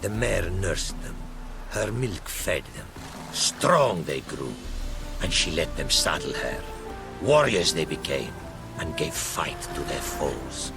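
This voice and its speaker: A proud, courtly explorer from a fantasy game. His voice is low and creaky, with sweeping melody, and he speaks in ornate, backwards-turned phrases. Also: very animated, takes it slowly, music in the background, heavily acted. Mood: reverent storytelling. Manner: formal, inverted, poetic phrasing. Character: courtly, philosophical Ophiri merchant explorer, proud and gracious. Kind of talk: video game character acting.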